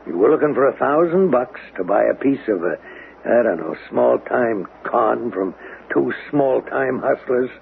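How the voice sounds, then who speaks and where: An actor playing a hard, skeptical interrogator in a radio drama, speaking in an insistent, sardonic, clipped way. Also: male voice, mid-range voice, expressive, a little slow, vocal fry. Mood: accusing, insistent. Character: hard, skeptical interrogator pressing a murder suspect. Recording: noisy, narrow-band vintage radio recording.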